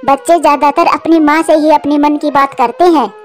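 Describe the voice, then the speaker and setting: A woman plays an ageing cartoon mother bird with a high voice. She is soft-hearted and worried, and pleads earnestly with those around her. Music plays underneath. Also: steady tone, normal speed, natural voice. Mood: gentle concern. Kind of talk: Hindi cartoon voice acting.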